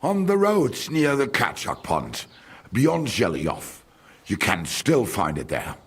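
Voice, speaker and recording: An actor playing a grumbling, condescending village merchant in a video game, with a German accent and German phrases slipped in. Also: male voice, medium pitch, huge pitch swings, slow, a bit bright, breathy edge, heavily acted. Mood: rueful. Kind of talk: video game character dialogue.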